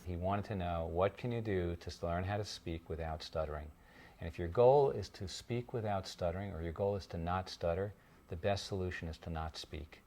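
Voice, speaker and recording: A man, a speech therapist, narrating a documentary in a calm, measured way. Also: low voice.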